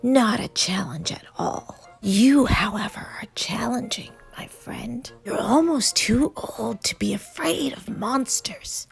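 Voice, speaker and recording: A woman voicing a hungry, menacing yet fond male monster in a children's storybook, in a breathy, whispery growl with a teasing, deliberate delivery. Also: fairly high pitch, lively intonation, soft background music, voice pushed up, heavily acted, poor audio. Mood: apologetic, teasing.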